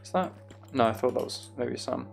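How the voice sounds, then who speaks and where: Man, creaky mid-pitched voice, casual gaming commentary, musing self-deprecating delivery, faint music underneath. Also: moderate pace. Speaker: gaming video host.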